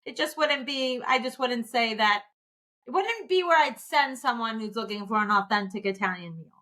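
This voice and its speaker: A woman guest on a podcast interview, chatting casually in a loud, lively way and laughing often. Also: a little high, normal speed. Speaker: podcast guest, dental finance advisor.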